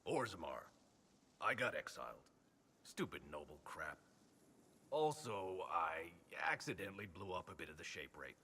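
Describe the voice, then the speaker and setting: A grumbly dwarf sapper in a fantasy game, low voice, offhand and scrappy. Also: male voice, expressive, moderate pace, bright, forceful tone, big-room sound, slight background noise, heavily acted. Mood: grumbling. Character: scrappy male dwarf sapper, cheerfully disgruntled. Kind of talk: video game character dialogue.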